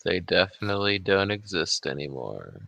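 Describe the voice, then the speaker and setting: A man's voice: low-pitched, very animated, normal speed, gravelly, pushed and bright, no room sound. A man in a casual chat, explaining things offhandedly, self-deprecating and swearing casually. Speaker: guest, software developer.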